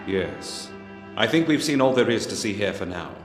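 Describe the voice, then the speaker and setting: An actor playing a keen, coolly analytical Victorian detective, speaking in precise, theatrical deductions in film dialogue. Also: male voice, fairly low pitch, lively intonation, moderate pace, close to an everyday voice. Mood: grave, wry. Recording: reverberant hall sound with music underneath.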